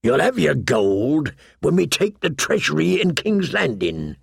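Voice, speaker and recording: A man voicing a grave, plain-spoken low-born smuggler in an audiobook, sober and measured. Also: sing-song, vocal fry, slightly rough, no room sound, clean studio sound, heavily acted. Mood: firm, sober. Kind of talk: audiobook character voice.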